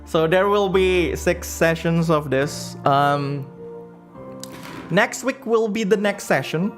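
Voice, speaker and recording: Slow-paced man chatting on a livestream over music. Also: high voice. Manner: halting and rambling, searching for words. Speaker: livestream host. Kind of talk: casual livestream chat, reading donations and comments. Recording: music playing behind the voice. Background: background music.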